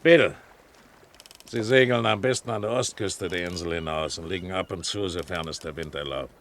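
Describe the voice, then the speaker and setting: An actor in a 1970s German radio play, playing a sly, domineering pirate captain with a commanding, mocking manner. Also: male voice, deep voice, normal speed, fairly bright tone, a little hiss or hum. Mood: commanding, amused. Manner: commanding, mocking, given to chuckling.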